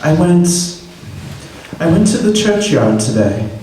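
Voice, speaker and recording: An actor playing a gentle, grieving poor clerk and father, speaking haltingly, in 1930s radio drama acting, heard on an old broadcast recording. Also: fairly high pitch, very animated, very echoey, far from the mic, slight background noise. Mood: sorrowful.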